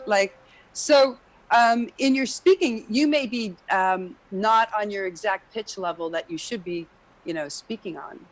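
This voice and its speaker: A woman's voice: mid-range voice, measured pace, faint music behind, low-quality recording. A woman, a jazz singer and vocal coach, talks through singing technique in casual chat, explaining at length and leaning on "you know".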